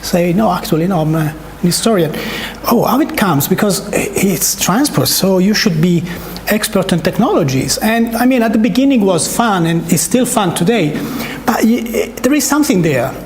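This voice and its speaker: High-voiced Italian man lecturing in a roomy hall. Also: lively intonation, normal speed, a little hiss or hum. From Italy. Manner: hesitant, many fillers and restarts, repeated words. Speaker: academic speaker. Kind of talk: research talk. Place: seminar room. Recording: echoey lecture room.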